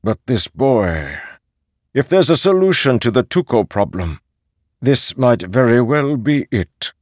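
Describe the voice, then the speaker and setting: A man voicing an impatient elderly warrior leader in an audiobook, forceful and peremptory. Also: mid-range voice, big pitch swings, measured pace, no echo, clean studio sound, voice pushed up. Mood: decisive.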